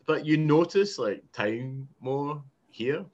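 A man with a Scottish accent casually telling anecdotes in an informal, sweary way, quick to laugh. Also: a little high, lively intonation, darkish tone, highs cut off. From Scotland. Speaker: podcast guest.

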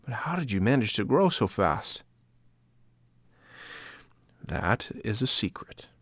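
A man voicing a kind fairy woman with a gentle, patient, motherly manner. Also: lowish voice, very animated, slow pace, no room sound, clean studio sound, exaggerated voice.

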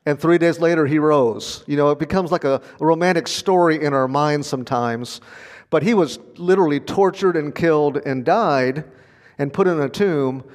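A man's voice: a little high, moderate pace, big-room sound. A man preaching a sermon in an earnest, conversational way, with small laughs as he talks. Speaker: pastor.

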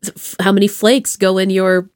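A woman's voice: medium pitch, flat delivery, moderate pace, smooth. A woman hosting a podcast in a casual chat, asking questions in a playful, jokey manner. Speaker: podcast host.

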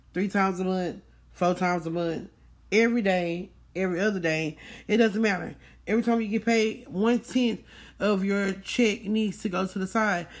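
A woman hosting a book club in a casual chat, informal and repeating phrases for emphasis. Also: mid-range voice.

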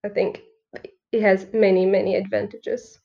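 A woman's voice: mid-range voice, flat delivery, moderate pace, vocal fry, muffled recording. A young woman from Brazil, a Portuguese speaker, chatting casually as a student guest on a podcast. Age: young adult. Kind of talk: casual chat.

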